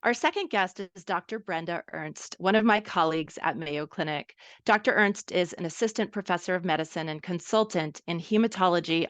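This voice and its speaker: A woman hosting a podcast, reading a prepared introduction and asking measured interview questions. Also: fairly low pitch, fairly bright tone, no echo. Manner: measured, reads prepared remarks. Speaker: podcast host.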